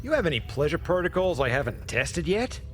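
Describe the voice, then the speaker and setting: Cocky aussie space captain, swears a lot, highish voice swinging all over, music behind. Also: male voice, very animated, a little fast, a bit bright. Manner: crude, irreverent, slangy. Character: brash, cocky, foul-mouthed male starship captain. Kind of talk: scripted video game dialogue.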